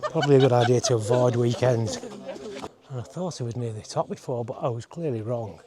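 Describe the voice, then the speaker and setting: A man's voice: mid-range voice, moderate pace, crowd noise. A man giving casual, chatty commentary with wry understatement as he hikes uphill outdoors, sounding exerted from the climb. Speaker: travel vlogger and host. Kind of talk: casual walk-and-talk hiking commentary. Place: outdoors on a forest hiking trail.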